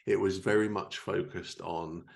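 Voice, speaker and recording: A man's voice: low voice, steady tone, a little slow, vocal fry. A man hosting a podcast, talking and asking questions in a casual interview style.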